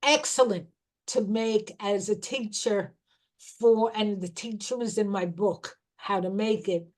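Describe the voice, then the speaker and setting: A middle-aged woman gives an informal talk on herbal remedies at a slow, unhurried pace. Her pitch sits in the ordinary middle range. She often breaks off and restarts her sentences as she goes. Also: a little mellow. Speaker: herbal educator.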